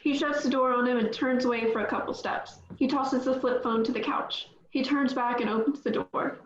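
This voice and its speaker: A woman's voice: a little high, steady tone, normal speed, roomy sound, dull audio, slight background noise. A woman reading the stage directions at a script table read in a plain, matter-of-fact way.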